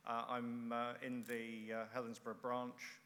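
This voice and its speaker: Middle-aged ex-serviceman from England, hesitant floor speech; bright, forceful tone, long hall reverb. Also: medium pitch, monotone. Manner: halting, many uhs and restarts. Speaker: first-time conference speaker, ex-air force. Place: large conference hall.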